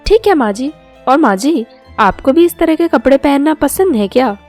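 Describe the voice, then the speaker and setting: A woman's voice: high-pitched, animated, moderate pace, darkish tone, pitched above the performer's own voice. A woman puts on a young daughter-in-law from a storybook tale. She sounds sweet, obliging and eager to please. Light music runs underneath. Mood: coaxing. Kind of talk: storybook cartoon dialogue.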